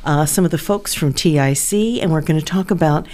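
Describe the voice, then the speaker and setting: A woman's voice: low voice, a little fast, a little mellow. A woman hosting a casual morning radio interview, chatty, upbeat and playful with her guests. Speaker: radio host.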